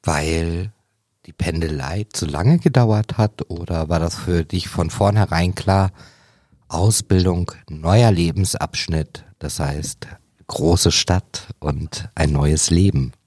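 A man's voice: low voice, slow pace, darkish tone, no echo, clean studio sound. A man from Hannover co-hosting a career podcast, talking in loose, chatty phrasing. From Hannover, Germany. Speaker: podcast co-host. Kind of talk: podcast chat.

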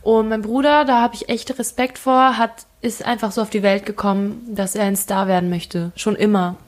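Woman from Thailand, mid-pitched, frank and chatty, casual kitchen conversation. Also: steady tone, normal speed. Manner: frank, chatty storytelling with fillers. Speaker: guest. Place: home kitchen.

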